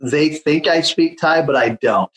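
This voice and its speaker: A man's voice: a little high, measured pace, a bit bright. A man being interviewed, telling his story in a casual way with many fillers and restarts. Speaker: guest, children's home founder. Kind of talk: conversational interview.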